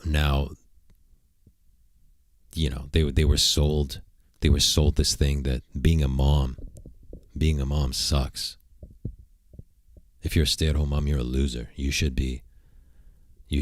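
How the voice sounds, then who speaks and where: Very deep-voiced man streaming, crude jokes. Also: no room sound, clean studio sound. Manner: crude, provocative joking, rambling. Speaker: streamer and channel host. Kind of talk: casual livestream chat. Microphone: desk mic at a computer.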